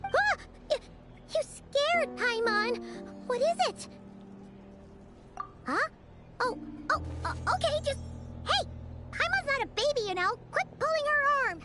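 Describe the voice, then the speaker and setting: Tiny excitable companion sprite, very high and bouncy voice, game music behind. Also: female voice, heavily acted. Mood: flustered. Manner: refers to herself in third person, quick mood swings. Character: tiny floating female companion, chatty and excitable. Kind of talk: video game cutscene voice acting. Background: game soundtrack music.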